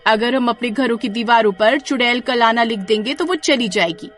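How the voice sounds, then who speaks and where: Woman playing a high, plucky village wife. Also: moderate pace, fairly bright tone, close to an everyday voice. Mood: urgent.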